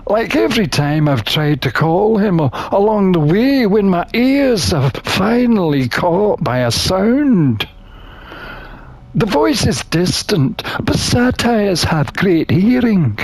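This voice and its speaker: A gamer reading a visual novel aloud as expressive scripted narration, with theatrical, deliberate phrasing. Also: medium pitch.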